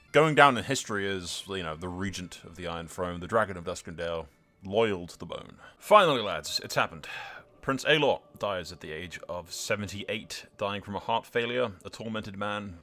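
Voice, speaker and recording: A man's voice: on the low side, normal speed, pushed and bright, soft background music. A man giving casual, informal commentary on a game playthrough, with wry asides. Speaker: host of a gaming channel.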